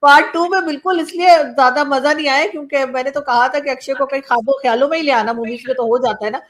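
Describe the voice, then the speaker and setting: A woman with a high-pitched voice and a Pakistani accent hosts a conversational interview. Her delivery is casual and chatty, circling around a point with roundabout phrasing. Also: quick pace, fairly bright tone. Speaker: journalist and show host.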